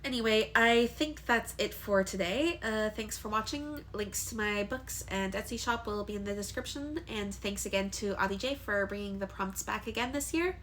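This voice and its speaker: A woman's voice: mid-range voice, moderate pace, few pauses, a bit bright, a little hiss or hum. A young woman with a congested voice from allergies, talking candidly to camera in a personal vlog, hesitant with frequent ums. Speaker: vlogger.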